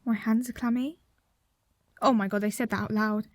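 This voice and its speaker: Anxious young woman, played by an actress, high register, defensive naturalistic delivery, radio play, no room echo. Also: steady tone, normal speed, natural voice.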